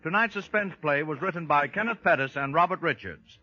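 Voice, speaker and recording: Forceful high-pitched vintage radio announcer man. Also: brisk. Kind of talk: dramatic 1940s scripted announcing. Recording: muffled old radio, faint music.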